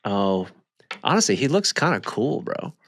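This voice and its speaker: A man's voice: fairly low pitch, sing-song, normal speed, fairly bright tone, slightly rough, dry recording, clean studio sound. A man in casual podcast conversation, speaking in a laid-back, slangy way. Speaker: podcast co-host. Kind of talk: casual chat.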